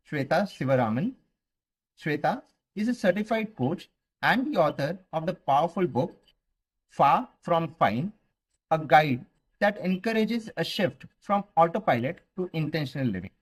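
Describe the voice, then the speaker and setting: A man with an Indian English accent reading a scripted podcast introduction in a measured, formal way. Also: a little high, expressive, breathy edge, low-quality recording. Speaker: podcast co-host narrating intro. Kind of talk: scripted narration.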